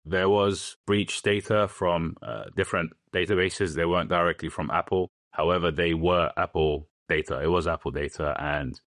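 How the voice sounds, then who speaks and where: A man's voice: sits low, fairly even intonation, moderate pace, few pauses, a bit bright, dry recording, clean studio sound. A man, giving a personal account as a guest in an interview. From London, England. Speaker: podcast guest.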